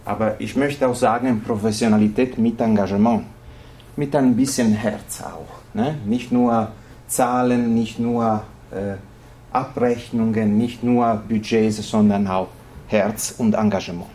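Male lecturer, Italian native with Italian accent. Mellow, halting delivery, some noise behind the voice. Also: lowish voice, steady tone. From Italy. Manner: halting, searching for words in non-native phrasing. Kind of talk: informal lecture presentation.

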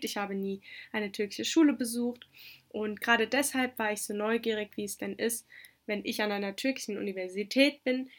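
A young woman whose first language is Turkish, calmly giving orderly interview answers about her semesters abroad. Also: medium pitch. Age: young adult. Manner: calm, orderly, explanatory. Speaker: exchange student interviewee.

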